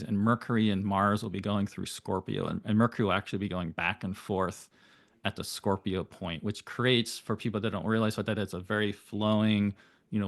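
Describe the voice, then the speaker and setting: A man speaking as an astrologer guest on a podcast, giving thoughtful, flowing explanations with occasional rapid bursts. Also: lowish voice, no echo. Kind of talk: explanatory interview talk.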